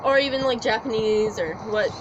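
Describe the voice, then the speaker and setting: A woman's voice: a little high, brisk, lo-fi sound, crowd noise. A teenage girl chatting casually and earnestly, giggly and reassuring. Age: teens. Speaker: student co-host. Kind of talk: casual chat.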